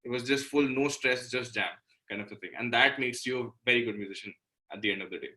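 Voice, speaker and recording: Bright-voiced young Indian man chatting informally. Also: mid-range voice, fast talker, low-quality recording. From Delhi, India. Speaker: interview guest, singer-songwriter and guitarist. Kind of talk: casual interview chat.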